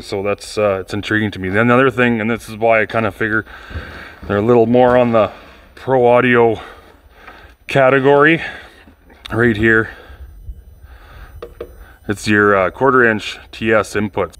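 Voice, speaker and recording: A man's voice: fairly low pitch, moderate pace, pauses often, fairly bright tone. A man giving casual hands-on video commentary, informal and rambling as he thinks aloud. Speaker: vlogger restoring old speakers.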